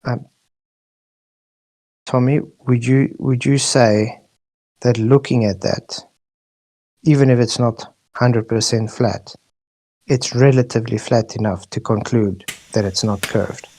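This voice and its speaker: A man's voice: mid-range voice, fairly even intonation, slow, long pauses, a little mellow. A man from South Africa hosting a heated online debate and pressing for yes or no answers. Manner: insistent, pressing questions.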